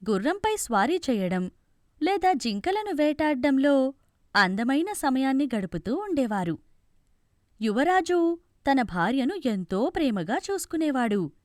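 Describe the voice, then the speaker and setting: A woman tells a fairy tale from a script in a high voice. Her pitch moves up and down in an animated way, and the sound is close and dry. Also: dry recording. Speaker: storyteller.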